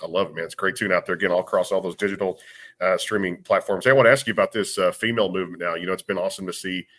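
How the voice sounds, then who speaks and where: Fast-talking low-voiced radio host. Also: male voice, fairly even intonation, a bit bright. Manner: upbeat, enthusiastic, plugs the show. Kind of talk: radio interview.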